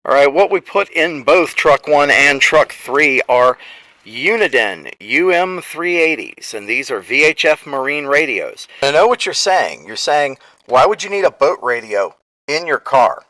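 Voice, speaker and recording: Bright, forceful man explaining gear. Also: a little high, big pitch swings, a little slow. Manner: emphatic, explanatory, talks straight to viewers. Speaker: storm chaser presenting radio gear. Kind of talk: informal show-and-tell talk. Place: garage partly sunk into the ground.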